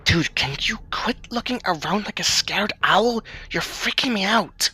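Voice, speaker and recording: A man's voice: high-pitched, expressive, unhurried, bright, forceful tone, slightly rough, dry recording, pitched above the performer's own voice, heavily acted. A man voicing a determined, exasperated young woman who speaks urgently and scoldingly in an audio drama. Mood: hissed whisper. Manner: urgent, scolding, sometimes breathless or hissing. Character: determined young woman, usually meek, now bold and exasperated.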